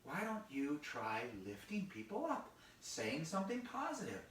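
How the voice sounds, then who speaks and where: A man voicing a patient, encouraging father who gently corrects his child in a children's story. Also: on the high side, wildly swooping pitch, normal speed. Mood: gently admonishing. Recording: very echoey room, faint noise, distant.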